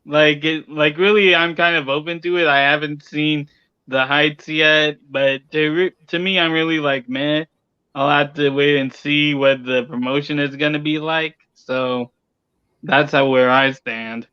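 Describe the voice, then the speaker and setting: A man's voice: fairly high pitch, fairly even intonation, a little slow, clear, resonant tone, no room sound. A man chatting casually as a panelist on a livestream, with slightly dull, lo-fi audio. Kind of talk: casual chat.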